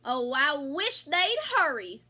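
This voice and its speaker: Woman doing fretful village mom, high sharp voice, drawly folksy amateur play. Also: big pitch swings, takes it slowly, exaggerated voice. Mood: fretful, resigned. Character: fretful village wife and mother, Hazel's folksy type. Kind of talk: amateur one-act play acting. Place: filmed on a video call.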